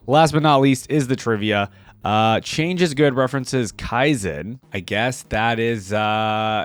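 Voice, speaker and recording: A man's voice: fairly low pitch, dry recording. Casual male reaction host, dry sound. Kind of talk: casual game reaction commentary.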